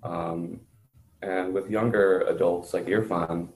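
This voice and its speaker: Low-voiced man, even delivery, explaining context in an interview, roomy echo. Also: slow pace. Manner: clarifies and explains for others. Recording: roomy echo, faint background noise.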